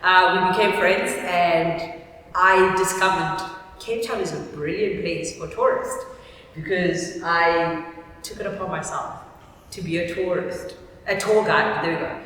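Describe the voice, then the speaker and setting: A woman's voice: on the low side, fairly even intonation, unhurried, a bit bright. A woman hosting a casual chat in a playful, teasing, self-deprecating way. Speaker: vlog host. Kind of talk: casual chat to camera and guest. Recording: distant echoey room, faint noise.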